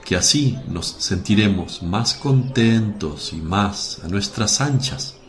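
An actor playing a gentle, welcoming male teacher, solemn and affectionate, in a religious radio drama. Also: fairly low pitch, lively intonation, slow. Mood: warm, insistent.

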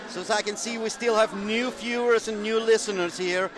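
A male commentator speaking English with a German accent, not his native language, calling a live football game and getting excited on the scores. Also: sits high, normal speed. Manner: excited, rising to shouts on scores. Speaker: sports commentator.

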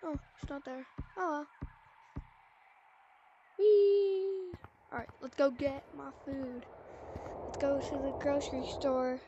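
A woman's voice: high voice, steady tone, slow pace, pauses often, muffled recording, background noise. A woman playing a VR game, chatting playfully to herself with sighs as she roleplays a shopping trip. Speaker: VR game player. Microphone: VR headset mic.

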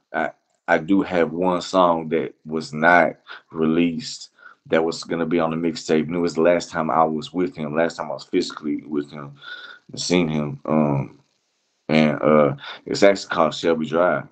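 A man being interviewed as a music producer, talking casually and reflectively in a halting, slangy way, often repeating phrases. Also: a bass voice.

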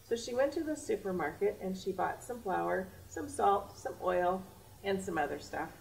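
A woman's voice: mid-range voice, normal speed, vocal fry. A middle-aged American woman hosting a children's storytime, reading expressively in simple, theatrical phrases. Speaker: library storytime host. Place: library children's area, indoors. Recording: distant camera mic, faint room noise.

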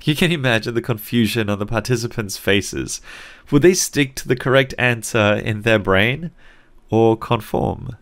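A young man from Singapore, speaking with an Australian accent, narrating a scripted psychology lesson in a clear, conversational and lightly wry way. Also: medium pitch, moderate pace. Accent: Australian English, learned as an adult. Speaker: psychology teacher.

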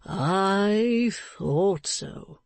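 Kindly old church man, done by a female narrator, stout, chatty, very slow, swooping intonation, storybook character. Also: medium pitch, lively intonation, no echo, voice pushed up. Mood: surprised, recognizing. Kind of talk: dramatized audiobook reading of a classic novel.

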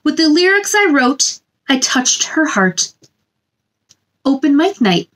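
A woman in her thirties doing a children's read-aloud, with a gentle sing-song delivery and chatty asides addressed to young listeners. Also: sits high, big pitch swings, slow. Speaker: children's storytime host reading a picture book aloud. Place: small indoor room.

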